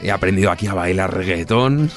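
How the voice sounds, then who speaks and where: Low-voiced Spanish man in his thirties. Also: quick pace, soft background music. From Spain. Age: 30s. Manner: easygoing, chatty, with frequent restarts. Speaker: actor guest. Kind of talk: relaxed interview answers.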